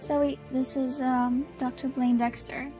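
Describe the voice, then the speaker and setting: High-pitched hesitant younger sister. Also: female voice, level tone, unhurried, mellow, soft background music. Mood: awkward. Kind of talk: audio drama acting.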